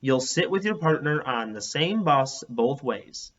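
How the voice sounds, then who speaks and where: A man playing a calm, practical male schoolteacher in a children's storybook read-aloud. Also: medium pitch, measured pace, dull audio. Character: calm male elementary school teacher organizing his class.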